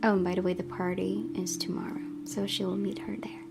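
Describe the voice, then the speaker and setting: A woman reading a visual novel aloud as a gaming host, in expressive, dramatic storytelling narration. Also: medium pitch, a little fast, vocal fry, loud music behind the voice.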